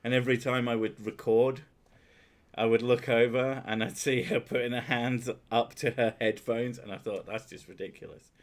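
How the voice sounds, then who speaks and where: A man's voice: mid-range voice, steady tone, normal speed. A man, chatting casually with his partner on a stream, swearing freely and mocking himself. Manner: sweary, self-mocking, loud laughing. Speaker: streamer host. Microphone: headphones and desk mic.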